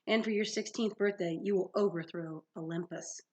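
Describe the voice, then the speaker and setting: A woman's voice: medium pitch, steady tone, moderate pace, a little mellow, lightly acted. A woman voicing a gloating male manticore villain from a fantasy storybook, sneering and formal, with a French accent. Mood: smug. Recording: dull lo-fi sound.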